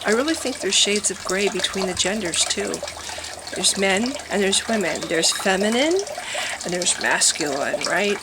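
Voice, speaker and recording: Woman, middle-aged, nasal, mid-pitched, rambling, candid, fountain water trickling behind. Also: measured pace, background noise. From Wisconsin, USA. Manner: rambling, candid, thinking aloud with many restarts. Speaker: vlogger. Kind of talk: personal monologue to camera. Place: her home with water fountains running.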